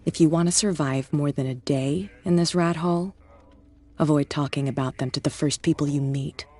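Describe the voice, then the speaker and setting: A man voicing a tough, shrewd male gang boss who talks in a calm, threatening, businesslike way. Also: on the high side, normal speed. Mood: menacing. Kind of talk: video game voice acting.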